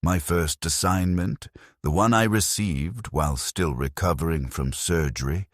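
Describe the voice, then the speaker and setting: A man narrating a scripted first-person story in a grave, measured way. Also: low-pitched, dry recording, studio quality.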